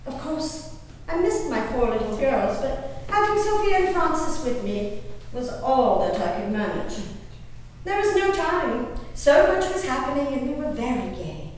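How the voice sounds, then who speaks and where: A woman on stage playing a spirited, haughty colonial English gentlewoman reading her diary, in prim, emphatic, old-fashioned formal diction with savored pauses. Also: high voice, sing-song. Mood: gleeful, excited. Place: indoor hall, live performance recorded from a distance. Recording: roomy echoing hall, distant mic, faint noise.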